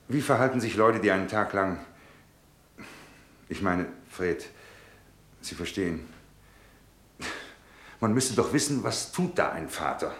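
An actor playing a distraught, brooding father in a German radio drama, halting and ruminating with bitter irony. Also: low voice, a bit bright. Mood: agitated, weary. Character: distraught, brooding father awaiting news of his son. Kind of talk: German radio drama acting with inner monologue.

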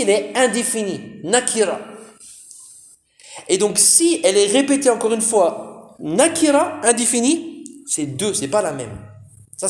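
A man's voice: high voice, roomy sound. A man giving a religious lesson, asking his listeners questions and answering them himself, with frequent "hein" fillers and Arabic terms. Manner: addresses listeners as brothers, asks and answers own questions. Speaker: Islamic teacher. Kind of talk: lesson explaining Quran commentary.

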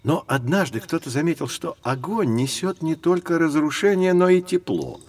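Learned, very expressive male teacher. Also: a little high. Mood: explanatory. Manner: measured, explanatory, storytelling. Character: learned male teacher guiding children through history. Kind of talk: children's educational audio drama.

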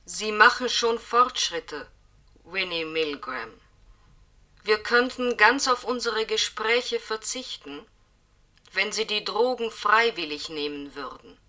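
An actress playing a coolly authoritative interrogator in a 1960s radio play, measured and clinical. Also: female voice, mid-range voice, pushed and bright. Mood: cool, controlling. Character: coolly authoritative female interrogator reconditioning a captive.

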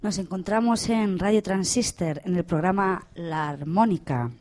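A woman's voice: on the low side, measured pace, a little mellow, close to an everyday voice. A woman playing a composed radio presenter in a parody radio play, formal and polite with a catty edge. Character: composed female radio music-show presenter, sweetly catty toward rival. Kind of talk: radio theatre, mock announcing.

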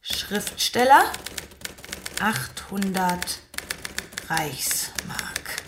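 A businesslike wife in a radio play, with a mid-pitched voice. She speaks in deliberate, clipped entries, with faint noise and typing behind her. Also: animated. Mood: official, deliberate. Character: businesslike wife filling in an official income questionnaire. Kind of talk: German radio drama acting.

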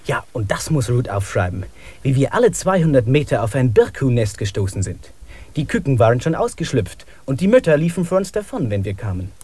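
An eager expedition guy, lively up and down, mellow husky tone. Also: mid-range voice, fairly quick, no echo. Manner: eager and animated. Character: eager male expedition member. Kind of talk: radio play acting.

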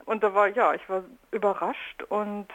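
A woman's voice: mid-range voice, fairly even intonation, normal speed, fairly bright tone, dull audio. Reflective woman, thinking aloud in interview. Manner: reflective, thinks aloud in loosely linked clauses. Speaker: radio artist being interviewed. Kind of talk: spontaneous interview talk.

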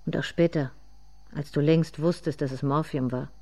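An actor performing a literary radio drama monologue as a haunted inner narrator, speaking in deliberate, intense fragments. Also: medium pitch, steady tone, darkish tone, close to an everyday voice. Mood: intense, bitter.

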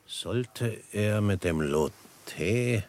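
Calm clever frontier hero, german radio play, lowish voice, dry studio, measured and formal. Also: male voice, dry recording. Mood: composed, deducing. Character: Old Shatterhand, calm shrewd frontiersman, questioning and deducing. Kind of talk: German radio drama acting.

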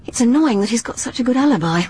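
Casting: a determined young sleuth, breathy, crisp and insistent, old radio play. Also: female voice, a little high, animated, fast talker, darkish tone. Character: brisk, determined young amateur sleuth woman.

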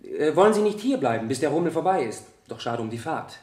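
A young male student in the witness stand of a radio play. His voice is fairly high and he talks haltingly, restarting phrases while earnestly standing by his account. The sound is very roomy. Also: very animated, darkish tone. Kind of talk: radio play courtroom dialogue. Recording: roomy 1970 radio drama studio.

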